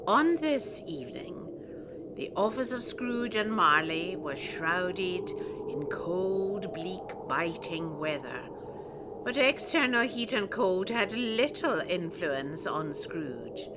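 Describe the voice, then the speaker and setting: A woman narrating a radio play like a storybook, dramatically and deliberately, relishing each word. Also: on the low side, sing-song. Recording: clear ambient noise behind voice.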